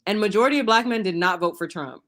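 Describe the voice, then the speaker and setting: A woman's voice: mid-range voice, fast talker, fairly bright tone. A woman in her twenties, a guest in a casual debate, arguing her point emphatically. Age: 20s. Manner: emphatic, argues with figures.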